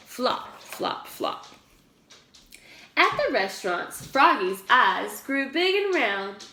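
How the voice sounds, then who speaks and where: A woman's voice: fairly high pitch, sing-song, takes it slowly, bright, forceful tone, echoey room, slight background noise. A woman reading a children's storybook aloud like a preschool teacher, acting out the sound-effect words with emphasis.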